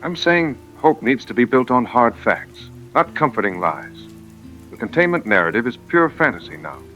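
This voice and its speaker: A man playing a blunt, grim, urgent outbreak expert who gives deliberate, emphatic instructions in a radio drama interview. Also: mid-range voice, sing-song, sharp tone, soft background music. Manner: deliberate, emphatic, listing advice. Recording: dull lo-fi radio sound.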